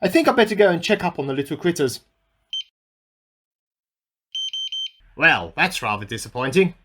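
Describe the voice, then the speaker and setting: A man's voice: fairly high pitch, sing-song, quick pace, fairly bright tone. A man playing an eccentric amateur scientist who earnestly records a self-important secret diary in a radio play. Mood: puzzled, deflated. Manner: earnest, self-important, musing aloud in dated log entries.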